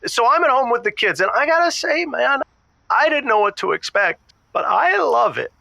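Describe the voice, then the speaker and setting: An actor playing an eager, guileless politician dad calling in to a radio show, talking in a halting, earnest way. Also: male voice, very high-pitched, very animated, creaky, bright, forceful tone, dry recording, dull audio, exaggerated voice. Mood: delighted. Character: eager, guileless state senator and stay-at-home dad caller.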